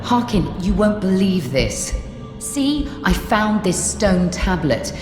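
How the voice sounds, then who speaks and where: An actress playing a sly, teasing treasure hunter in a fantasy game, with a wry, sarcastic delivery. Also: female voice, mid-range voice, sing-song, slow pace. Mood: keen, insistent. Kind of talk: fantasy game character acting. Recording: echoey hall reverb, music behind.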